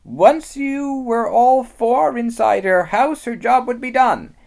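Reader voicing a brisk motherly beaver wife. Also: mid-range voice, gravelly, a little mellow, voice pushed up. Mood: alarmed. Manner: brisk and bustling.

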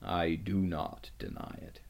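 A man voicing a grave, regal lion in a storybook, low and growling, measured, with quiet authority. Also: very animated, gravelly, exaggerated voice. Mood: solemn acceptance. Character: grave, regal male lion, calm and commanding. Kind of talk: dramatic storybook reading.

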